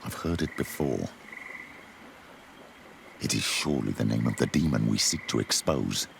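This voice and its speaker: An actor playing a grave, wary older male companion in a video game, with a gravelly voice. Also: a bass voice, lively intonation, moderate pace, exaggerated voice. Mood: grave suspicion. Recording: noisy swamp ambience under voice.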